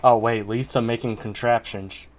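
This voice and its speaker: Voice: medium pitch, steady tone, normal speed, slight background noise. A game streamer chatting casually and reacting to a game in short, offhand remarks. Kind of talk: casual livestream reaction chat.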